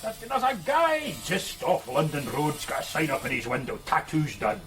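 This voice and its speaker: A blunt Glasgow tattoo artist. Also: male voice, a little high, big pitch swings, normal speed, a bit bright, a little hiss or hum. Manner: blunt, matter-of-fact, impatient. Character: blunt male tattoo artist, proud of his craft. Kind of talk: radio drama acting.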